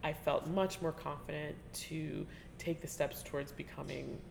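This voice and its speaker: A woman interviewing a colleague in a relaxed, chatty way, often trailing off and restarting and laughing as she talks. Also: sits low, unhurried, creaky, a little hiss or hum. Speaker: interviewer, teaching artist. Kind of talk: conversational interview. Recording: reverberant room with faint ambience.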